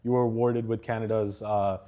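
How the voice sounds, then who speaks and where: A man's voice: lowish voice, level tone, brisk, dark tone. A man hosting a university event, introducing the guest on stage with some hesitant fillers before his first question. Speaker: event host.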